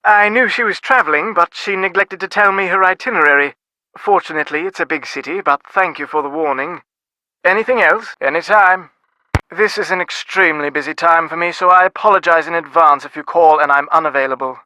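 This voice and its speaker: Game role: snobbish British upper-class dad, middle-aged, sardonic, highish sharp voice, very close dry sound. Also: male voice, fairly quick, dry recording, low-quality recording. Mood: brisk, polite. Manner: pompous, wordy, dryly sardonic. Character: snobbish, dismissive middle-aged English father of aristocratic family. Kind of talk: scripted video game dialogue.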